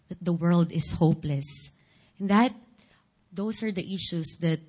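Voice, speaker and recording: Lower-pitched Filipino woman preaching. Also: darkish tone. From Philippines. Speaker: guest speaker giving testimony. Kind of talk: church sermon and personal testimony.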